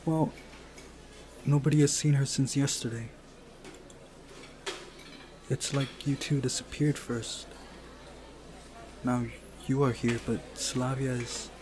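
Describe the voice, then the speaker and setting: A timid teenage girl, voiced by a man, speaks haltingly in a raised pitch. She sounds anxious and shy, a visual novel character with noisy birdsong ambience behind. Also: steady tone, close to an everyday voice. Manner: hesitant, pausing between sentences.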